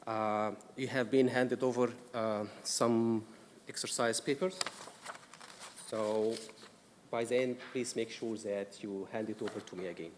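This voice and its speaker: A man's voice: lowish voice, fairly even intonation, unhurried. Egyptian-accented man presenting in an echoey hall. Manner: explanatory, frequent "uh" fillers, careful pacing. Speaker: risk management presenter. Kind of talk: council workshop presentation. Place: council meeting room. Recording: distant mic in a reverberant room with noise.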